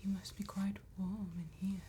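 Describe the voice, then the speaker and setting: A woman playing a seductive, predatory female vampire tattoo artist in a breathy, intimate ASMR whisper, slow, teasing and flattering. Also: mid-range voice, a little hiss or hum, close to an everyday voice. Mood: coaxing, flattering. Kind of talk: ASMR roleplay, soft spoken and whispered.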